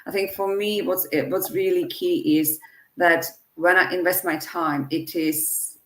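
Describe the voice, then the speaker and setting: A woman's voice: lowish voice, steady tone, a little slow. A woman language teacher speaking earnestly in a panel discussion, often restarting her sentences. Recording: echoey room.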